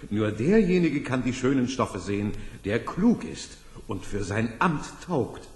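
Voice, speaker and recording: An actor playing a smooth-talking swindler posing as a weaver in a fairy-tale radio play, boastful and persuasive. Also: male voice, mid-range voice, sing-song, unhurried. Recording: echoey hall-like reverb.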